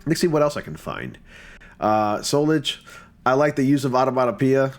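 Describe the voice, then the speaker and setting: A man's voice: mid-range voice, big pitch swings, moderate pace. A man with a stuffy, just-off-a-cold voice, casually and enthusiastically commenting on fan photo submissions with lots of fillers. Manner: chatty and enthusiastic, with many fillers.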